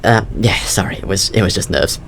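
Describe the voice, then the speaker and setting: A man's voice: sits low, brisk, gravelly, fairly bright tone, a little hiss or hum. An actor in an audio drama playing a nervous, fragile young engineering student, hesitant and awkward, stammering as he speaks. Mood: shaken, anxious. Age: young adult.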